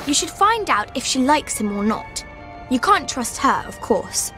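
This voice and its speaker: A knowing female confidante in a video game. She is high and animated, wry and conspiratorial, over faint music. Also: a little slow, heavily acted.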